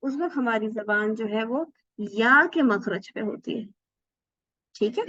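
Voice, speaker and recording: A woman with a somewhat high voice teaches an online class, patiently walking through each rule and pausing to ask rhetorical questions. The sound is dull and dry, as over a video call. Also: lively intonation, sharp tone, no echo. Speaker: Quran recitation teacher. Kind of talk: online class lesson explaining tajweed rules.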